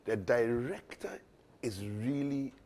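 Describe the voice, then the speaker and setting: A woman co-hosting in casual studio chat, with a husky voice. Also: extremely low pitch, takes it slowly, warm tone, slight background noise. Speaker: co-host.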